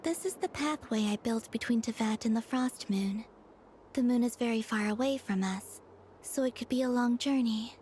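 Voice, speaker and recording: A young moon goddess from a fantasy game, acted by a woman, gentle and wistful. Her voice is fairly high and soft, with formal, measured phrasing. Light noise sits behind her. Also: natural voice. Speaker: video game character. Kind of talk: scripted game voice acting.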